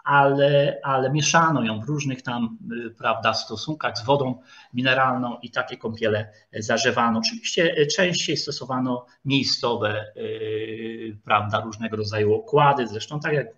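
A man giving an online lecture with slides, speaking haltingly with frequent drawn-out filler sounds. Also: medium pitch, a bit bright. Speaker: lecturer, historian. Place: online video call. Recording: dull, lo-fi video call audio.

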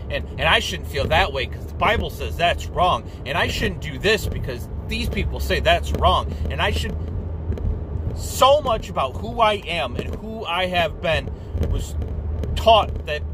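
A young man with a high-pitched voice speaks in an impassioned, emphatic rant straight to a camera on his dashboard. He hammers points by repeating himself and throws in the odd curse. The sound is recorded inside a car, with clear traffic and street noise behind him. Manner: heated, emphatic, repeats phrases for stress, swears. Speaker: vlogger. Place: inside a parked car at night. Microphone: dashboard camera facing driver.

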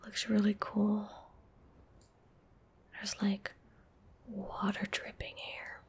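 Female, twenties, for ASMR gameplay narration: hushed breathy whisper, very even pitch, calm and close-miked. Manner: calm, gentle, unhurried. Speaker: streamer narrating her gameplay. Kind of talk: soft-spoken ASMR game commentary. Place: indoor room at a gaming desk. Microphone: close desk microphone.

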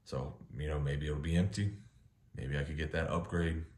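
A man in his thirties from the United States, chatting casually to camera at home, relaxed and rambling with many restarts. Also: a bass voice, moderate pace, echoey room. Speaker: vlogger. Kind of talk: casual vlog monologue. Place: home living room with kitchen behind, indoors.

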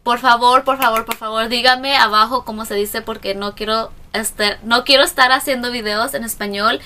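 Bright-voiced young woman, hesitant Spanglish vlogger. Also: a little high, fairly even intonation, normal speed, slight background noise. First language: English. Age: young adult. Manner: hesitant, mixes in English words, self-correcting, giggly. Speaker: beauty vlogger. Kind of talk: casual makeup tutorial. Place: small room indoors.

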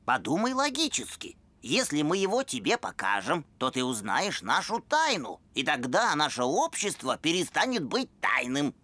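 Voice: mid-range voice, sing-song, normal speed, few pauses, sharp tone, dry recording. A performer voicing a pedantic, brainy boy dog in a children's cartoon, didactic and precise. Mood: lecturing.

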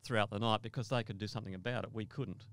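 A man's voice: on the low side, steady tone, rapid-fire, a bit bright, dry recording. An older man telling a first-person story conversationally as a podcast guest, often repeating and rephrasing his words.

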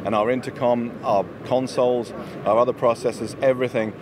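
A man's voice: mid-range voice, flat delivery, a little fast, a crowd in the background. A man in his forties giving a conversational product explanation as an interview guest, into a handheld microphone. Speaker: company executive, interview guest. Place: trade show booth, indoors. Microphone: handheld microphone held by interviewer. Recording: clear busy trade-show floor noise.

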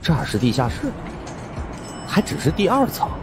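A man's voice: fairly high pitch, wildly swooping pitch, normal speed, music in the background. A man narrates suspense fiction as a dramatized first-person audiobook storyteller.

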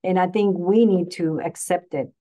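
Middle-aged woman moderator on a video call; casual and conversational, with a lower voice. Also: normal speed, warm tone. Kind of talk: moderating a panel.

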